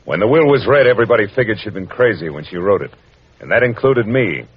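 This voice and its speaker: An actor playing a wry, worldly private detective with an American accent, narrating and talking in clipped hard-boiled radio noir style. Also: male voice, on the low side, expressive, quick pace, breathy edge. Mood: world-weary. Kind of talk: 1940s-50s radio noir acting and first-person narration. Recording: muffled vintage radio recording with music.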